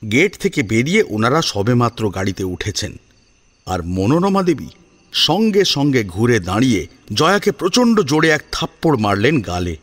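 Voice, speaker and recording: Expressive male storyteller with lively pitch. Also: moderate pace. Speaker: narrator. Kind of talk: dramatic scripted story narration.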